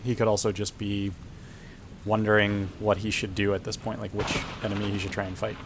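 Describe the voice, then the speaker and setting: A man's voice: fairly low pitch, completely flat delivery, brisk, slight background noise. A man casually discussing options and rules in a tabletop roleplaying game, in an analytical way.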